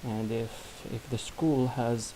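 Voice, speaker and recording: Man, Syrian Arabic accent, non-native English, slow halting delivery, mellow tone, faint hiss. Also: mid-range voice, steady tone, poor audio. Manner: halting, many restarts and fillers, non-native grammar. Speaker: student presenting a project. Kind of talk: screen-recorded software demo.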